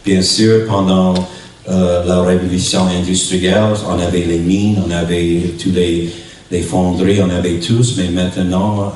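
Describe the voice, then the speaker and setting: A man from New Brunswick, a native English speaker with an English-speaking Canadian accent, preaches as a visiting pastor in a halting way, in short phrases, searching for words. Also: deep voice, flat delivery, darkish tone. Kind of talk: sermon. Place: church hall during a worship service. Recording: echoey hall, distant mic, faint noise.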